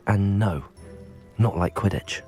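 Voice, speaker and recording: Composed, low student wizard. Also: male voice, slow pace, warm tone, soft background music. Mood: explaining. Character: level-headed, inquisitive teenage student wizard hero. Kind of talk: video game dialogue acting.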